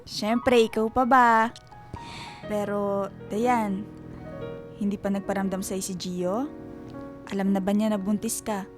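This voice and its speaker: A woman's voice: mid-range voice, takes it slowly, pauses often, over music, lightly acted. A woman playing a protective, fussing best friend in a radio drama, exclaiming with concern. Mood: cheerful, curious. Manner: animated, exclaiming, scolding concern.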